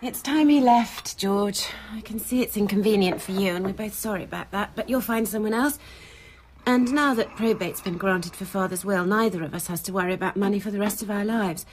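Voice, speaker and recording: An actress playing a forthright, disapproving middle-aged clinic manager, emphatic in a radio drama. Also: female voice, medium pitch, fairly quick, a little hiss or hum, close to an everyday voice. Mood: firm, businesslike. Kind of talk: British radio drama acting.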